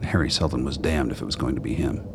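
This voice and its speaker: A man's voice: very deep voice, fast talker. A man narrating a scripted radio drama. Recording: clear background noise.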